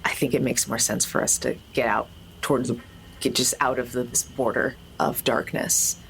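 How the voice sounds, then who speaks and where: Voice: medium pitch, little pitch movement, normal speed, creaky, fairly bright tone, rough recording. An adult player in a tabletop roleplaying game, talking casually and hesitantly as they act out their character. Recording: faint background ambient noise.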